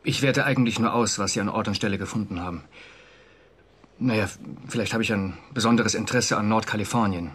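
Radio drama role: an uneasy, dutiful bureaucrat, husky and slightly low, formal and haunted. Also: male voice, fast, a bit bright. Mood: uneasy, confiding. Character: conscientious, uneasy male government official, defensive and haunted. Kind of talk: German radio drama acting.

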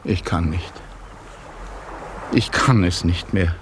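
A man's voice: low-pitched, slow, a little hiss or hum. An actor playing a desperate, brooding man with an insistent, tormented manner in a dramatic radio play. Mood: despairing. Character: desperate, brooding man urging a woman to keep talking.

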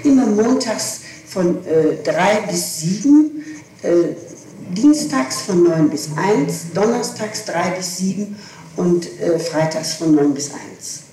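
A woman's voice: on the low side, animated, slow pace, warm tone. A woman gives testimony under questioning, precise and insistent about details. She sounds distant in a heavily reverberant room, on an archival tape with faint noise. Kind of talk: police interrogation testimony. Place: reverberant room, far from the microphone. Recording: echoey, distant, noisy archival tape recording.

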